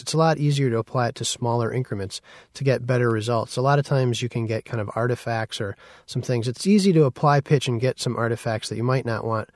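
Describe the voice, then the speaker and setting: Male tutorial instructor, medium pitch, informal conversational delivery, dry studio-style sound. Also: a little mellow, no echo. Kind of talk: casual software tutorial.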